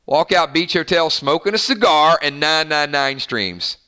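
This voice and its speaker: A man's voice: on the high side, animated, measured pace, sharp tone, clean tone. A man hosting a casual fan live stream, chatting and reading out viewer comments in an upbeat, rambling way. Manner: chatty, upbeat, lots of fillers and restarts.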